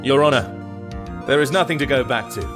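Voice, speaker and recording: A man acting a haughty, calculating prosecutor in a video game drama, formal, smug and deliberate. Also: mid-range voice, lightly acted. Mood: cool, dismissive. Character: haughty, calculating male prosecutor, coolly superior. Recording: music clearly under the voice.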